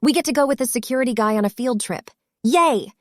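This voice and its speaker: A man's voice: extremely high pitch, quick pace, no room sound, studio quality, pitched above the performer's own voice. A man voicing a sweet, fearless young woman teacher who talks reassuringly to children in an audiobook story. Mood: cheerful, coaxing. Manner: bright, reassuring, singsong to children. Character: sweet, fearless young female teacher in her late twenties.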